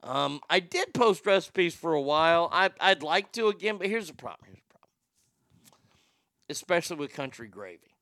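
A man's voice: fairly high pitch, expressive, moderate pace, sharp tone, no echo, studio quality. A middle-aged man from Texas with a stutter, hosting a casual show where he reads viewer comments and answers them bluntly. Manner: rambling, blunt, profane, laughs at his own jokes. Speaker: livestream show host.

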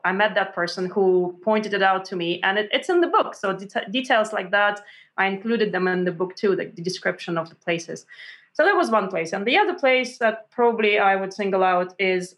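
Animated woman guest. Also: mid-range voice. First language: Russian. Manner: animated, many restarts and fillers, acts out quotes. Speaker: author guest. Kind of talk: conversational interview storytelling.

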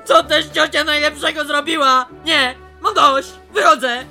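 A man's voice: extremely high pitch, steady tone, a little slow, sharp tone, soft background music, full character voice. A man playing an exasperated young painter in a radio comedy, complaining in an aggrieved, dramatic way. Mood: exasperated, whining. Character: exasperated, whiny young male painter smothered by his aunt.

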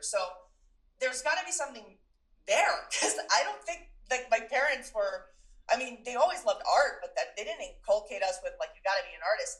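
Chatty young woman guest, animated storytelling. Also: medium pitch, rough recording. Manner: chatty, lots of "like", laughs and quoted asides. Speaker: interview guest. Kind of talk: casual interview chat.

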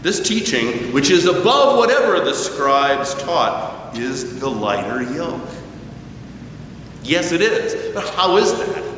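A man preaching a sermon at a slow, deliberate pace, his voice rising and falling with emphasis. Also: fairly high pitch, background noise. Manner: slow, deliberate preaching with lively rises and falls. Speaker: pastor. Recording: echoey church hall, distant mic, dull sound.

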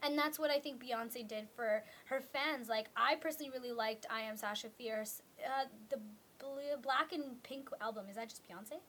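High-voiced chatty young woman. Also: normal speed, fairly bright tone, slight background noise. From Canada. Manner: chatty, lots of "like" and restarts. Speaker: co-host. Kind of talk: casual chat.

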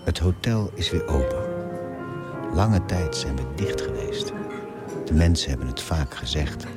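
An actor playing an ageing, wistful hotelier in a radio drama, speaking in a reflective, measured way. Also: male voice, extremely low pitch, expressive. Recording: loud music under the voice.

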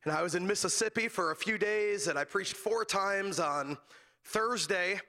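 A raspy, hoarse man preaches from the pulpit, his voice pitched high and bright. He spins a folksy personal story and breaks into laughter again and again. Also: normal speed. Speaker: guest preacher. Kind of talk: sermon with humorous personal story. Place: church sanctuary, from the pulpit. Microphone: handheld microphone.

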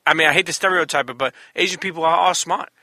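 A man's voice: a little high, quick pace, bright, forceful tone, no room sound, clean studio sound. A man hosting a podcast, chatting casually in a blunt, profane manner. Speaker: podcast host. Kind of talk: casual chat.

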